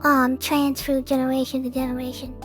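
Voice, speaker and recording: A teenage girl doing a casual let's play, reading a visual novel aloud and commenting, with halting delivery and repeated words. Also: high voice, level tone, music in the background.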